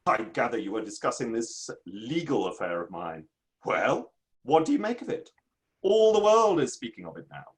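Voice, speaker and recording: A man's voice: medium pitch, a bit bright. A man playing an easily affronted Victorian literary man in a play reading, declaiming in grand, formal, old-fashioned phrases. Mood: indignant, proud. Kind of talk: online dramatic play reading.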